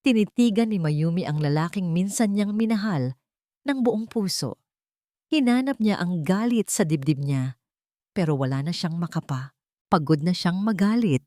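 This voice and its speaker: A woman's voice: lowish voice, animated, moderate pace, dark tone, no echo, studio quality. A woman with a Filipino accent narrating a scripted dramatic story.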